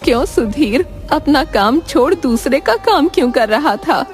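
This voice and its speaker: A woman's voice: high-pitched, lively intonation, measured pace, in a large hall, pitched above the performer's own voice. A woman voicing a stern, questioning village mother in a cartoon story. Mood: stern, suspicious. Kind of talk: animated Hindi moral-story cartoon acting. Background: faint music.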